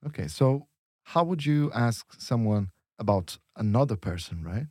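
A man's voice: on the low side, lively intonation, normal speed, warm tone, dry recording, studio quality. A man teaching Portuguese in a lesson dialogue, explaining patiently step by step with examples.

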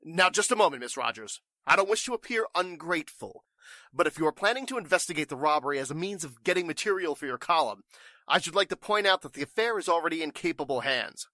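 A man playing an irritable, pompous and evasive warehouse boss in 1940s radio style, gruff and stammering. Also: on the high side, expressive, fast, pushed and bright, no echo. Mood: falsely cordial.

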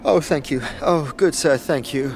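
A man's voice: on the high side, unhurried, gravelly, a little hiss or hum. A man playing a nervous, exhausted, gushingly grateful courier in a fantasy audio drama, stammering in polite old-fashioned phrases. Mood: relieved, grateful.

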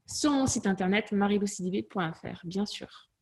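A woman in her thirties with a southwestern Toulouse accent, heard over a video call. Her voice is mellow and warm, and her mid-pitched delivery is lively, full of small hesitations and restarts. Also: moderate pace. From southwest France, near Toulouse. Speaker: guest, sports coach. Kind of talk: casual remote interview.

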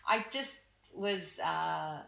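A woman's voice: low voice, extremely slow, creaky, crowd noise. An Australian woman in her forties chatting casually as a podcast co-host, outspoken and swearing freely. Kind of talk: casual chat. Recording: roomy dull-sounding recording.

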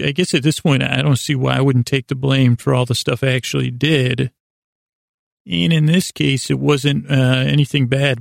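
An American man telling rambling, improvised stories as a podcast host, halting and often interrupting himself with deadpan whimsy. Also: medium pitch, dry recording, studio quality. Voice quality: gravelly, creaky. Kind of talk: rambling, improvised monologue storytelling.

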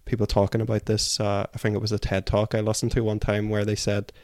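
A man co-hosting a book podcast, giving measured, analytical thoughts in a conversational way. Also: fairly low pitch, completely flat delivery, a little mellow, dry recording, clean studio sound.

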